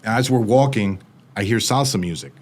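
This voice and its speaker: A younger man from the United States, telling his story as an interview guest in fast, animated casual talk, slipping in quoted voices. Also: fairly low pitch.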